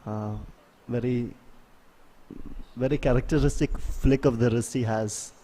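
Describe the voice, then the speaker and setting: Mellow man calling a match. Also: medium pitch, fairly even intonation, measured pace, rough recording. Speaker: sports commentator. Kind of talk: live play-by-play commentary of an ultimate frisbee match.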